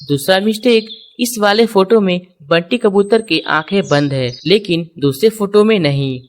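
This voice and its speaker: Female narrator, medium pitch, scripted children's storytelling, explanatory, speaks straight to viewers. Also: moderate pace, slight background noise. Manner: explanatory, addresses viewers directly.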